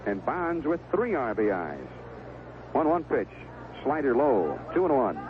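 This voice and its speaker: Animated American baseball announcer on tinny vintage radio. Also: male voice, medium pitch, a little slow. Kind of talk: live sports commentary. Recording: vintage 1970s AM radio broadcast, tinny, noisy.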